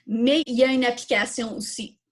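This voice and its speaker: A woman's voice: fairly high pitch, steady tone, moderate pace. A woman with a Quebec French accent hosting a live online coding workshop, informally thinking aloud and answering questions as she demonstrates. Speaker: workshop host.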